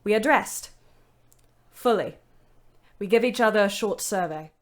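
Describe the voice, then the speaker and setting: Slow-spoken, weary young woman played by an actress. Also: mid-range voice, natural voice. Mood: dry, brisk. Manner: measured, literate, expressive. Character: weary, bitter young British woman ambulance driver in the Great War. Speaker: narrator and lead character. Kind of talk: first-person dramatic diary narration in an audio play.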